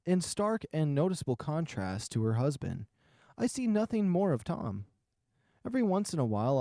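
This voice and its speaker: Guy narrating a visual novel story, mellow voice, lively pitch, faint music behind. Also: no echo, clean studio sound.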